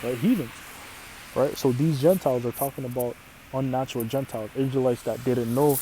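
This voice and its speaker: A man from Canada whose first language is Arabic explains scripture in a relaxed, chatty way, often breaking off to ask "right?" His voice is warm and mellow at an ordinary pitch, with faint noise in the background. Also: fairly quick, rough recording. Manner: casual, repeats "right?" often, restarts phrases. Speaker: religious teacher. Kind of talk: informal scripture teaching.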